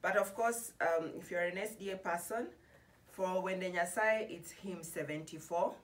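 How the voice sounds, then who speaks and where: A middle-aged woman from Kenya giving a soft-spoken, halting devotional introduction before singing a hymn. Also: lowish voice. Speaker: gospel singer. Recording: voice a bit far from the mic.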